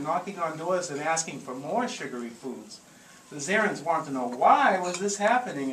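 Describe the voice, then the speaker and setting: A man telling a story theatrically and deliberately to a group, with playful asides. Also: sits high. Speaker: live storyteller. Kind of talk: dramatic spoken storytelling to a live group. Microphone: small consumer video camera in the room. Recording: echoey noisy room, consumer camcorder audio.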